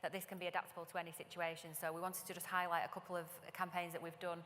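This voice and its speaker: A woman's voice: lowish voice, level tone, racing, a bit bright. A woman co-presenting a workshop at a conference, speaking loosely with fillers. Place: conference hall. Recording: distant mic in reverberant hall.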